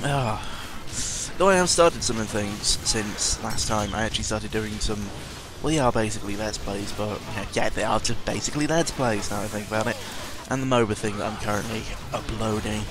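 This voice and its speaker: A man's voice: on the low side, fairly quick. Rambling, sardonic man over noisy background. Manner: rambling, self-interrupting, sweary; sardonic, cynical. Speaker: host of a personal audio log. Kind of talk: casual rambling monologue.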